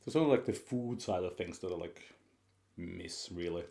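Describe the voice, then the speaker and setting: Low-voiced Austrian man chatting while eating. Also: normal speed, creaky. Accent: Viennese Austrian with a Scottish tinge. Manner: relaxed, chatting between bites. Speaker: mukbang host. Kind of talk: ASMR eating chat.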